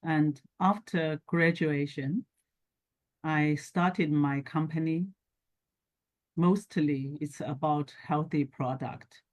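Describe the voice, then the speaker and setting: A middle-aged woman from China with a Chinese accent, speaking haltingly in short phrases as a guest in an interview. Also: low-pitched, steady tone. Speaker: guest, scientist and entrepreneur. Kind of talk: talk show interview, telling personal background.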